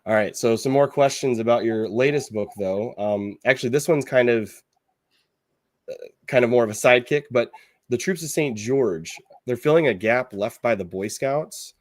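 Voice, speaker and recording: A man hosting a podcast, asking questions in a relaxed, hesitant way with fillers. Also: mid-range voice, normal speed, no echo, studio quality. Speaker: podcast host. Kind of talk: casual interview.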